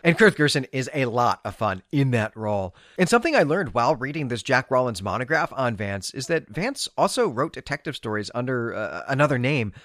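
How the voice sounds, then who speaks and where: A man's voice: medium pitch, sing-song, a little fast, few pauses, fairly bright tone, no room sound. A man with a mid-range voice presents a book review as a podcast host. His intonation swings widely as he speaks with emphatic, gushing enthusiasm in a conversational style. The sound is dry, with very little room in it.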